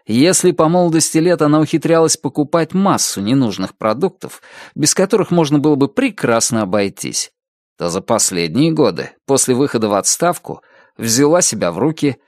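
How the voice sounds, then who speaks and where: Man, audiobook narrator, detective novel, lively rising and falling pitch, dry close mic. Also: no echo. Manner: lively, expressive reading with varied pitch.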